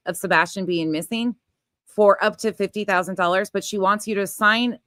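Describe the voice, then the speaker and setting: A woman's voice: medium pitch, steady tone, normal speed, fairly bright tone, no echo, studio quality. A woman giving informal reaction commentary in a blunt, exasperated, sweary way. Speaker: online commentator reacting to another streamer.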